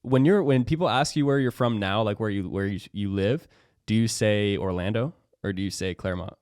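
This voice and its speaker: A man's voice: lowish voice, a little fast, a little mellow, clear, resonant tone, no echo, studio quality. A young man hosting a podcast in casual chat, talking loosely and laughing often as he acts out quoted lines. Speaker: podcast host. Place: studio.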